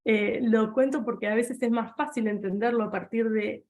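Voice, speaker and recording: Una mujer argentina de mediana edad, profesora de seminario, que cuenta una experiencia personal a sus alumnos de forma conversacional, con muchas pausas y reformulaciones. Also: female voice, a little high, steady tone, darkish tone. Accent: Argentine Spanish. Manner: conversational, many restarts and fillers, addresses listeners directly. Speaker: seminar lecturer. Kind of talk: personal anecdote in an online lecture.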